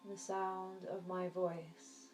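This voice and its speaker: A woman teaching yoga nidra, guiding a relaxation meditation in a soft, soothing, gentle manner with long pauses. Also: on the low side, level tone, soft background music. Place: indoor room. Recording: roomy echo, faint background noise.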